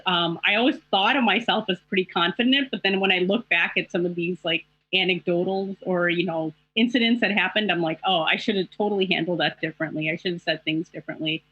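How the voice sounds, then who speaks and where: Middle-aged lady journalist on a video call, candid and reflective, lots of ums, lowish voice, dull dry sound. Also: pushed and bright, slightly rough, dry recording. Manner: reflective, candid, many fillers. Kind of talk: panel discussion answer.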